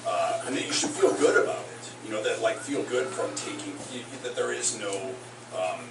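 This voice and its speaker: Man, touring songwriter, halting stage banter; roomy distant live recording with heavy noise. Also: lowish voice, vocal fry. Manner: rambling, halting, many fillers. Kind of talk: casual onstage chat between songs. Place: concert house, live in front of an audience.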